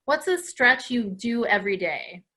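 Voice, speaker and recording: A woman hosts a live movement class for an audience at home. Her voice sits fairly high and sounds very bright and forward. She instructs in an encouraging, praising manner. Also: animated, a little slow. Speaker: dance class host leading children's stretches.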